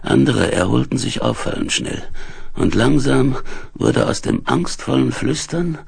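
An actor playing a dying, delirious space explorer in a radio play, halting and weak, with breath sinking into whispers. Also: male voice, medium pitch, animated, creaky, breathy edge, full character voice. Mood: agitated, bitter. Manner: slow, halting, laboured delivery. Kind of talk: 1970s German radio play acting, dramatic monologue. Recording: hissy, humming 1970s vinyl recording.

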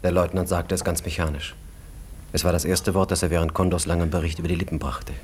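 An actor narrating a literary radio drama in a measured, formal and subtly ironic manner. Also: male voice, low voice, flat delivery, a little mellow, slight background noise, natural voice. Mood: dry, measured.

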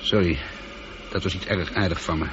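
A man's voice: low-pitched, fairly even intonation, moderate pace, natural voice. A man playing a wry space pilot in a science fiction radio drama, talking in a dry, informal, conversational way. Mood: irritated, apologetic. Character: male space pilot, wry, teasing, easily irritated but apologetic. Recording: dull, lightly noisy old radio recording.

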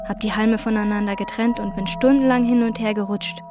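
An actress playing a quiet, obsessive hotel chambermaid who speaks in slow, introspective radio-drama monologue. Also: female voice, medium pitch, steady tone, music in the background, lightly acted. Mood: brooding. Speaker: narrator and lead character.

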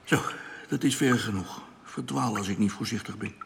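A man's voice: on the low side, moderate pace, vocal fry, slight background noise. An actor playing a nervous, polite, plain-spoken crewman stranded in space, with a hesitant, stammering manner, in a radio drama. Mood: muttering, absorbed. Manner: hesitant, stammering when uneasy.

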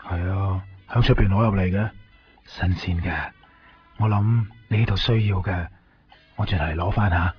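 Man acting as deadpan laconic friend, deep voice, Hong Kong Cantonese accent, muffled radio play. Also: moderate pace, natural voice. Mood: deadpan, casual. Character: laconic, deadpan male friend with odd gifts. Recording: dull lo-fi radio, faint music.

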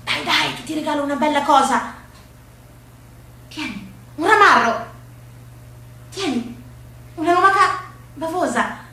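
A woman on stage voicing an eager, insistent teenage boy gardener, excitable and coaxing. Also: sits high, unhurried, pauses often, voice pushed up, full character voice. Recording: echoey room with hiss.

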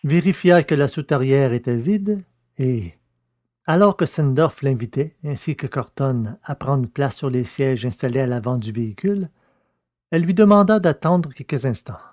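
A man reading scripted narration for a science-fiction audio serial in a measured, literary way. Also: medium pitch, expressive, darkish tone.

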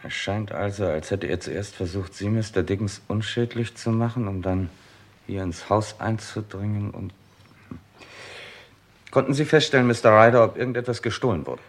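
An actor playing a brisk, sceptical police detective in charge, in a German radio crime drama, with a businesslike, probing manner. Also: male voice, fairly low pitch. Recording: faint noise, vintage radio drama.

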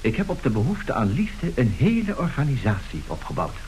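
An actor playing a formal, excitable, grandiloquent gentleman given to florid speech in a radio play. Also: medium pitch, brisk, low-quality recording. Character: flustered, grandiloquent gentleman dealer in love letters. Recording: hissy old radio drama recording.

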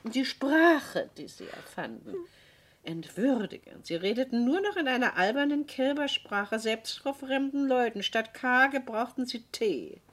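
A woman's voice: sits high, animated, measured pace, fairly bright tone, heavily acted. An actress playing a domineering, spiteful middle-aged woman in a radio drama, theatrical, scornful and insistent. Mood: scornful relish. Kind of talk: German radio drama acting.

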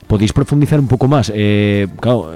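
A man's voice: fairly low pitch, brisk, mellow. A man hosting a conversational radio interview, informal in tone and often ending phrases with a questioning tag. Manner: informal, frequent question tags.

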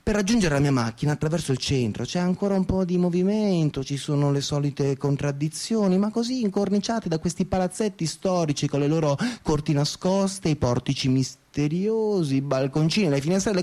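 A man's voice: fairly high pitch, mellow, lightly acted. An actor playing a cynical, bigoted provincial man in a radio theatre monologue, with a sarcastic, scornful delivery. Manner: sarcastic, scornful, foul-mouthed. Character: cynical, bigoted, sneering provincial Italian man narrating his night. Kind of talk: dramatic first-person monologue.

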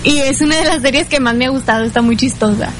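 A woman's voice: high voice, quick pace, very noisy. A woman with a Mexican accent chatting casually as an interview guest, tentative and a little unsure in her answers. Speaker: interview guest, amateur fandub singer.

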